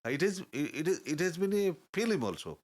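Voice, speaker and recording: An older man, a guest telling stories in a halting, earnest way, often pausing to search for words. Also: a little high, sing-song, darkish tone. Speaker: interview guest. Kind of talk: reflective storytelling in conversation.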